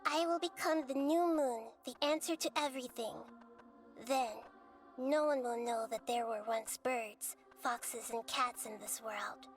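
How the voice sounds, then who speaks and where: A high-voiced, childlike goddess speaks with gentle wisdom. Her delivery is solemn, caring and measured, like video game storytelling, with soft music underneath. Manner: measured, earnest, philosophical delivery. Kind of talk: scripted game dialogue and storytelling.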